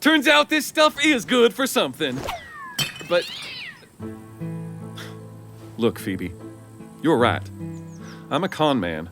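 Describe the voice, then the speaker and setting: Male snake-oil salesman, western radio play: slippery, theatrical, unhurried, very expressive, music underneath. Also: on the high side. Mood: sheepish, sincere. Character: slippery Old West con-man tonic peddler with soft heart. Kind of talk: musical western audio drama acting. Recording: music behind the voice.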